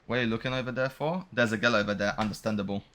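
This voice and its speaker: A man's voice: lowish voice, steady tone, rapid-fire, a bit bright. A man giving casual, joking game commentary with slang and swearing, sometimes reading dialogue aloud. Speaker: game streamer.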